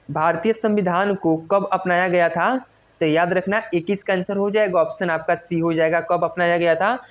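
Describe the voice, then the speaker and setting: An exam coaching teacher, reading out quiz questions and explaining the answers in a repetitive, instructional way that stresses each answer. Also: medium pitch.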